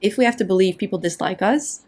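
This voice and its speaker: A woman's voice: mid-range voice, a little mellow. Woman from the Netherlands, podcast guest coach, explanatory, mimics inner voices in examples. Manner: explanatory, uses quick acted-out inner voices. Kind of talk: casual interview conversation.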